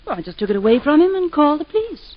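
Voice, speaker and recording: An actress playing a composed, witty wife and mother who speaks in a gently teasing, lightly ironic way in 1940s radio acting. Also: high-pitched, very animated, rapid-fire, slight background noise. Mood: playful. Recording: dull 1940s radio broadcast.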